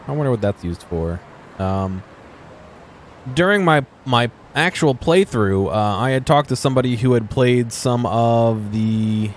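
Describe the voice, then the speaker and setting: A man's voice: fairly low pitch, a little hiss or hum. A man giving casual, unscripted video game exploration commentary, musing aloud and asking himself questions.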